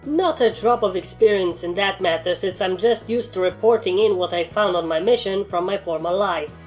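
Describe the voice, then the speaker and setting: A woman's voice: medium pitch, normal speed, darkish tone, soft background music, lightly acted. A woman voicing a blunt, battle-hardened pony trainer in a story, with a dry, sarcastic way of talking. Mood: dry, grumbling.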